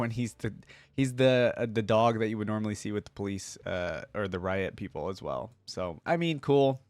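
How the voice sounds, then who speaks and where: A man's voice: medium pitch, dry recording. A man giving casual, off-the-cuff reaction commentary, informal and opinionated as he thinks aloud. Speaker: YouTube reaction host.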